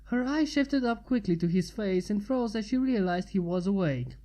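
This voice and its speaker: A woman narrates a piece of fan fiction from a script. Her voice has a dark, mellow tone and sits at an ordinary pitch for a woman. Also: animated, moderate pace.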